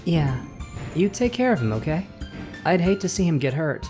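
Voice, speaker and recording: Gentle, soft-spoken young male club president played by an actor. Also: on the high side, sing-song, normal speed, faint music behind. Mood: quiet, rueful. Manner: soft-spoken, encouraging, hesitant. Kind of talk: visual novel audio drama acting.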